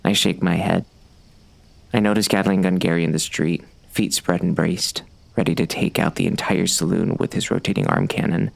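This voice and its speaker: An actor playing a wry, brooding bounty hunter narrating a western audiobook in a gravelly voice. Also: male voice, low voice, steady tone, normal speed, exaggerated voice. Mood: wry, watchful.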